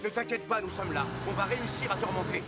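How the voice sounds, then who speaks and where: A man voicing a steady, reassuring rescue vehicle in a children's cartoon dub, an encouraging helper. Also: high-pitched, quick pace, natural voice. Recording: loud music under the voice.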